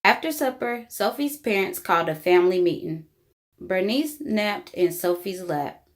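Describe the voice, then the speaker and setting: A woman reading a children's book aloud in an expressive, deliberate storytelling style. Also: mid-range voice. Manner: animated, deliberate storybook delivery. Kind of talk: expressive read-aloud narration for young children.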